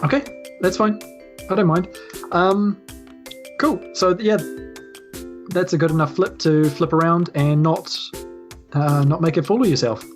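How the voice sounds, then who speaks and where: A woman with a New Zealand accent and a fairly low voice works as game master. She improvises casually and goes along with her players in an easygoing way, with music playing softly behind her. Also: a little slow, pauses often. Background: background music.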